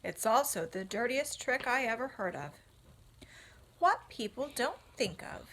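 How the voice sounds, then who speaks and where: A woman voicing a calm, wise female spider who speaks briskly and firmly. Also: on the high side, exaggerated voice. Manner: brisk, firm.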